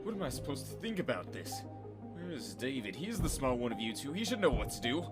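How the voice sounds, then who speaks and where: A man's voice: medium pitch, very animated, fairly quick, loud music behind the voice, lightly acted. A man acting an exasperated, sarcastic reluctant ally in an audio drama, scolding as he talks.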